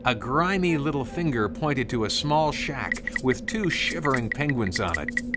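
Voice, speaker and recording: Animated male storyteller over music. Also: mid-range voice, unhurried. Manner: dramatic, conversational asides. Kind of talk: scripted story narration. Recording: music under the voice.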